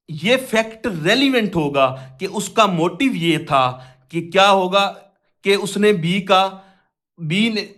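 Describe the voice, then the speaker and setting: A man's voice: high-pitched, fairly bright tone. A Pakistani man giving an explanatory law lecture in a didactic way, posing questions and then answering them himself. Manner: reads quoted provisions slowly in segments. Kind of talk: explanatory lecture mixing Urdu with English legal terms.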